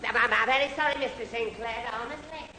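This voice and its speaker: Daft flustered young fellow, stammering, forceful bright tone, vintage radio sitcom. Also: male voice, very high voice, fast talker, heavily acted. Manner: stammering, dithering. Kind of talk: 1970s British radio sitcom acting. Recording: old radio recording, faint hiss.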